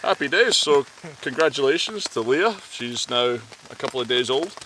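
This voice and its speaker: A man with a Scottish accent softened by years in Cornwall and Canada, chatting relaxed and laughing easily at an outdoor camp. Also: medium pitch, moderate pace, low-quality recording. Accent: Scottish, mixed with time in Cornwall and Canada. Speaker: outdoor vlogger. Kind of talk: casual chat by a campfire. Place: woodland camp outdoors in heavy rain. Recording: rain noise outdoors.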